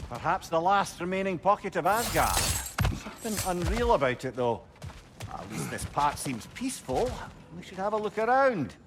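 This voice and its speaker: A man's voice: high voice, sing-song, moderate pace. A man playing a witty, candid older companion and advisor in a video game, talkative and wry. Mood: wry, curious. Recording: clearly noisy game ambience behind. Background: game ambience.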